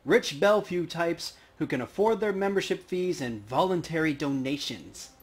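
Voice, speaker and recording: A man's voice: fairly high pitch, expressive, moderate pace, natural voice. Man reading as a jaded, tough elf barmaid. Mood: defiant. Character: tough, jaded young elf woman bartender. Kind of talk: video game dialogue read aloud.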